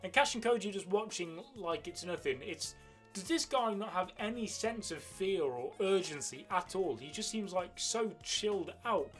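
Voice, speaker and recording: A young man with a slightly high voice presents fan news in an excited, emphatic way. He breaks up his phrases with dramatic pauses on the key words. Music plays faintly behind him. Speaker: host of an anime news channel. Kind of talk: excited fan commentary on manga spoilers. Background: background music.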